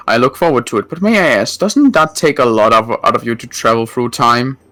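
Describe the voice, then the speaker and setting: A man's voice: medium pitch, sing-song, pushed and bright, dry recording. A man playing a formal, seasoned water mage. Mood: measured. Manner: formal, measured phrasing. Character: experienced male water mage, formal and measured. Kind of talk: roleplay chat.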